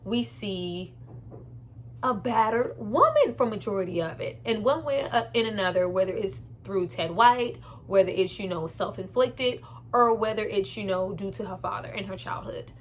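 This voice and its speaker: A woman's voice: medium pitch, lively intonation, moderate pace. Woman reviewing a film, noisy background. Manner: candid, opinionated, conversational, emphatic with slangy swearing. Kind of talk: casual spoken movie review.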